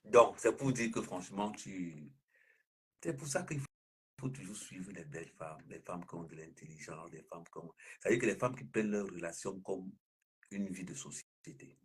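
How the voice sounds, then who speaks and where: A man chatting casually on a live stream, speaking in a flowery, flattering way. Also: moderate pace, slightly rough. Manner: flowery, sweet-talking, bursts of high laughter.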